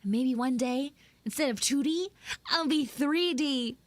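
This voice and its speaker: Woman playing a high-pitched dramatic tsundere anime girl. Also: measured pace, no echo. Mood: rebellious, dramatic. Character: bratty, dramatic tsundere two-dimensional anime girl, student. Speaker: streamer, voice actor. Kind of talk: live improvised audio roleplay, chatting with listeners.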